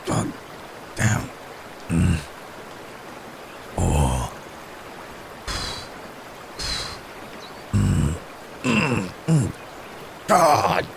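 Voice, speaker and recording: A man playing a wounded, courteous werewolf in an intimate ASMR roleplay, halting and strained with pain, with old-fashioned polite phrasing. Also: sits low, wildly swooping pitch, gravelly, noisy background, exaggerated voice. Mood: pained, straining. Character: wounded, weary, courteous male werewolf hunted by men.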